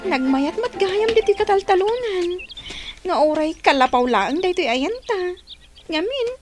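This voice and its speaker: A woman's voice: extremely high pitch, lively intonation, unhurried, over music. A woman playing a whiny, clinging young girlfriend in an Ilocano audio drama, with a plaintive delivery. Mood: yielding. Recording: clear background noise, clicks and birdsong.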